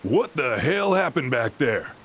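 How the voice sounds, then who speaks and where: An actor playing a commanding, no-nonsense military commander in an audio drama, curt and authoritative. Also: male voice, medium pitch, big pitch swings, a little slow, fairly bright tone, slight background noise. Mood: alarmed. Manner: curt, authoritative, barking orders. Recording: music behind the voice.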